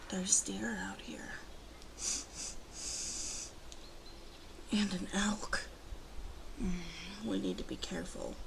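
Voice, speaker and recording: A woman playing a protective, commanding female werewolf in an ASMR roleplay, talking slowly and deliberately to the listener in a soft, guarded way. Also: mid-range voice, vocal fry, slight background noise, poor audio. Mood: wary.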